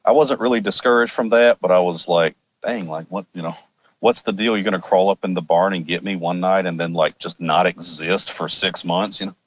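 A man's voice: sits low, fairly even intonation, a little fast, no room sound, lo-fi sound. A man from Arkansas with an American accent, casually telling a personal story in an informal, rambling, wry way. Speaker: podcast guest telling personal experiences.

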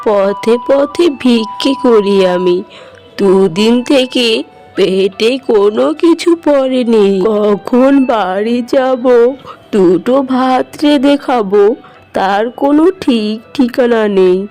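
A woman voicing a weary, kindly old beggar woman in a fairy-tale cartoon, speaking in a drawn-out, plaintive way. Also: a little high, dark tone, faint music behind.

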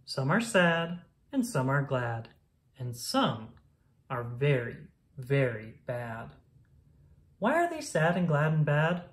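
Low-voiced woman reading with lively swings. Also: slow pace. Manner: singsong, expressive storytelling delivery. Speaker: children's book reader. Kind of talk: read-aloud of a rhyming picture book.

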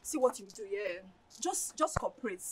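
An actress playing a cajoling, money-minded young best friend with a Nigerian accent, coaxing and pleading theatrically in Pidgin-tinged phrasing. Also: female voice, medium pitch, expressive, moderate pace, background noise, natural voice. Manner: wheedling, coaxing, repetitive pleading. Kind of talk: lively screen drama acting with Pidgin phrasing.

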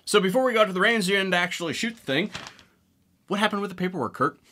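A man's voice: high-pitched, lively intonation, fast, sharp tone. A man in his thirties hosting a gun channel, talking casually to camera with a wry, joking manner. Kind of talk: casual talk to camera with sponsor read. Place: indoor room with guns on wall behind.

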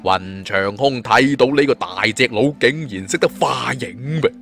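A man narrating an adventure tale in as a theatrical, expressive audiobook storyteller. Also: medium pitch, unhurried, vocal fry, faint music behind.

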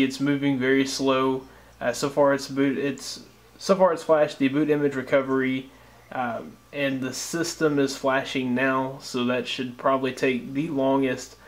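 An American man narrating a casual step-by-step tutorial as a tech host, explaining things informally. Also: medium pitch.

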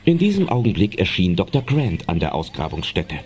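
A man's voice: deep voice, very animated, a little fast, darkish tone, a little hiss or hum. A man narrating a scripted audio drama as the storyteller.

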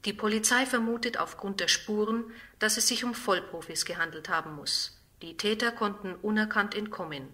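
A woman playing a formal, factual radio newsreader in an audio drama, with measured delivery. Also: mid-range voice, sharp tone, close to an everyday voice. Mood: formal, neutral. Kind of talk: radio news reading.

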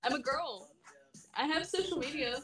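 A woman's voice: high-pitched, moderate pace, reverberant, far from the mic, over loud music, low-quality recording. A woman in her twenties from Georgia, chatting playfully with a stranger on a video call. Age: 20s. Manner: playful, casual, giggly. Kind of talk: casual video chat.

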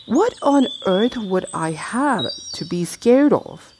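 A woman's voice: mid-range voice, sing-song, slow pace, darkish tone, slight background noise. A woman voicing a proud, reluctant male panther who speaks in a dignified, mildly exasperated way in a bedtime storybook. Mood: indignant.

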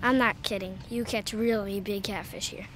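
A woman's voice: medium pitch, moderate pace. A woman casually talking about catfish while out on a boat. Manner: relaxed, chatty commentary while handling fish. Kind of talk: casual on-water commentary. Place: outdoors on a boat on a lake. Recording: noisy outdoor boat audio.

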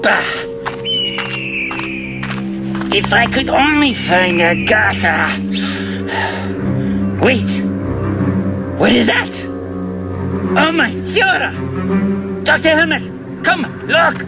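Obsessed german nazi scientist, high voice, slow and grandiose, radio play, music behind. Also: male voice, animated, exaggerated voice. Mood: fervent. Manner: grandiose, exclamatory. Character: obsessed, arrogant Nazi zoologist leading an expedition. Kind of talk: radio drama acting.

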